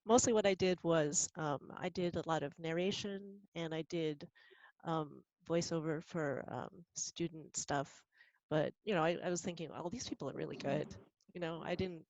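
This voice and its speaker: A woman's voice: fairly low pitch, no room sound. A woman who does volunteer voice acting, chatting casually on a live stream over dull, lo-fi audio. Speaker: volunteer voice actor.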